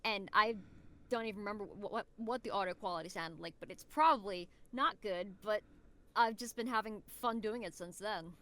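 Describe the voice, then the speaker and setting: A woman with a bright, very clear voice talks as a podcast guest. Her delivery is casual and conversational, at a medium pitch, like a voice actor describing their work. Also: moderate pace. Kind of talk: casual interview chat.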